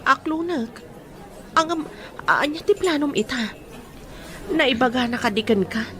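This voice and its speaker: Woman acting as worried loyal filipina friend, radio drama, highish voice, busy restaurant noise and clinking. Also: lively intonation, measured pace, pushed and bright, some chatter behind, exaggerated voice. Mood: concerned, probing. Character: concerned, probing young woman, loyal best friend.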